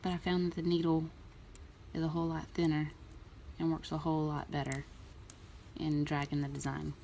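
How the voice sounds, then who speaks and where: A woman in a relaxed, chatty way explaining a nail art tutorial, with plenty of ums. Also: low-pitched, moderate pace, gravelly, a little hiss or hum. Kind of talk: casual tutorial talk.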